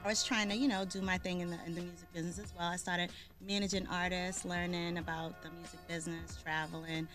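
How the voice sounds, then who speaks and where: A woman's voice: fairly low pitch, flat delivery, quick pace, hardly any pauses, music in the background. A woman from Boston, a guest on the show, talking casually with lots of ums and restarts. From Boston, Massachusetts. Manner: chatty, lots of ums and restarts, laughs easily. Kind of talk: casual interview talk.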